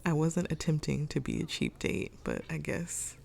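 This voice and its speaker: Young woman rambling over gameplay, low gravelly voice, raspy from a cold, lots of ums. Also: lively intonation, normal speed, a little mellow. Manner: chatty, thinking aloud with many ums. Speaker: gaming YouTuber. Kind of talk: casual let's-play commentary. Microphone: desk microphone.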